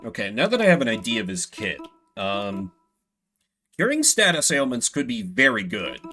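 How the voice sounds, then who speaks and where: Animated male streamer thinking out loud. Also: mid-range voice, moderate pace, soft background music. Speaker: game streamer. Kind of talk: casual live gameplay commentary.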